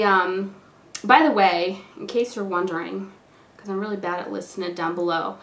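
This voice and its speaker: A young woman with a hoarse, congested voice, sick with a cold, chats loosely to camera and fills her talk with "like" and "you know." Her middling-pitched voice carries a noticeable room echo. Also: fairly bright tone, a little hiss or hum. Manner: rambling, filler-heavy, lots of "like" and "you know". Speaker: beauty vlogger. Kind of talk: casual chatty get-ready-with-me talk. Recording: echoey room.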